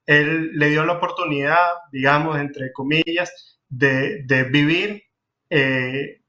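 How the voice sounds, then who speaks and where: A man's voice: on the high side, takes it slowly, pushed and bright. A man with a Venezuelan accent, speaking earnestly in a television talk-show discussion, with frequent fillers and restarts. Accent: Venezuelan Spanish. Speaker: community director.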